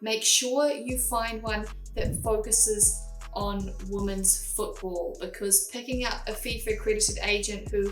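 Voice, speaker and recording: A woman's voice: medium pitch, steady tone, a little slow, over music. A young woman with a New Zealand accent, hosting a vlog and giving direct, practical, step-by-step tips. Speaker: host. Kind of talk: casual advice vlog. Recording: roomy and a bit distant from the mic.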